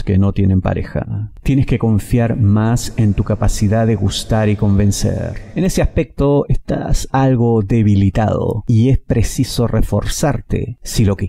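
A middle-aged man presenting a horoscope to camera with deliberate, emphatic phrasing into a headset microphone. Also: lowish voice, gravelly, dark tone, no room sound. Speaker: horoscope presenter, tarot reader. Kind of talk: scripted-sounding horoscope reading to camera.

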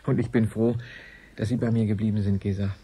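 A man's voice: fairly low pitch, flat delivery, brisk, mellow, breathy edge. An actor playing a sombre, weary man waiting at a mission base in a radio play. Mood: grave.

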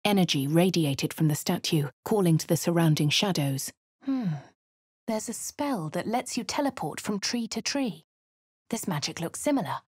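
Posh English adventurer. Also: female voice, fairly low pitch, lively intonation, normal speed, dry recording. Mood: measured. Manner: crisp refined delivery, shouts spell incantations. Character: posh young adult female adventurer. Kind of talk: game character voice lines and narration. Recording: dry studio voice booth.

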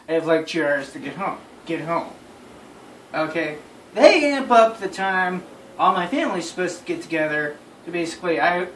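A man's voice: a little high, a bit bright. A young adult man telling a story casually to friends, exasperated, emphatic and given to repeating himself. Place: small bedroom, indoors. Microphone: phone camera across the room. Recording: roomy, noisy recording, distant phone mic.

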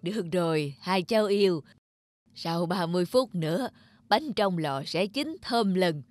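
A woman voicing a kindly, patient elderly grandmother in a children's cartoon, speaking gently and affectionately. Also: lowish voice, expressive, a little slow, soft background music.

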